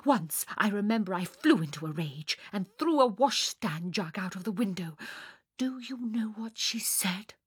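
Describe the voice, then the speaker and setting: Woman acting a melodramatic, breathy young woman. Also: mid-range voice, expressive, a little slow, no room sound, studio quality. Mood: brooding, dramatic. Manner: theatrical, swooning, emphatic delivery. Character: melodramatic young woman, self-pitying, grandiose artistic soul. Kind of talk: dramatised reading of a literary short story.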